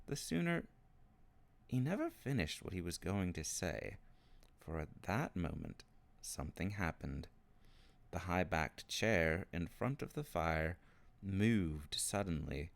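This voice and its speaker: A man reading children's fiction aloud as an expressive scripted narration. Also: low-pitched, no echo. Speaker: storybook reader.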